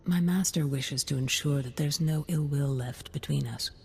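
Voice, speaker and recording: A man voicing a formal, diplomatic servant of a noble house in a video game, with a husky, creaky voice. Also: a little high, moderate pace, darkish tone, slight background noise. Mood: smooth diplomacy. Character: courteous, sinister servant messenger of a noble house. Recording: long hall reverb.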